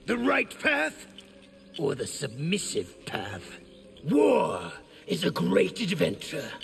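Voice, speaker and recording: An actor voicing a defiant, war-hungry warrior in a video game, gravelly and menacing in a theatrical way. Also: male voice, high-pitched, wildly swooping pitch, takes it slowly, a little hiss or hum, heavily acted. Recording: long hall reverb, faint music behind.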